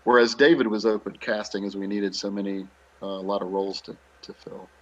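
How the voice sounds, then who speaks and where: Man, low voice, easygoing theatre director-actor giving informal interview answers. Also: steady tone, fairly quick, highs cut off. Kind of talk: casual interview talk.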